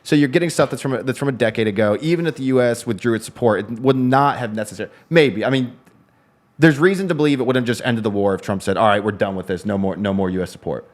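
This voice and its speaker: Fast-talking man in political chat. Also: medium pitch. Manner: fast, rambling, frequent restarts. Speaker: co-host. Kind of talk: casual political discussion.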